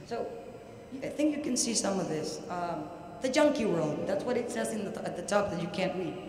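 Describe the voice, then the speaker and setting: Puerto rican woman giving a conference talk, halting and emphatic, big hall reverb, some noise. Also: medium pitch, animated, a little mellow. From Puerto Rico. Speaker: researcher presenting at an academic conference. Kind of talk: academic lecture. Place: large indoor university hall, at a podium. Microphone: podium microphone. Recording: very echoey hall, audible background noise.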